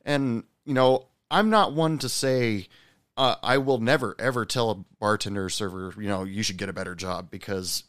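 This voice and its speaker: A man's voice: lowish voice, moderate pace, fairly bright tone, clean tone, no echo, studio quality. A male host asking questions and musing in a loose, rambling way with frequent fillers. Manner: rambling, many fillers and restarts. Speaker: interviewer, host. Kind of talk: casual interview chat.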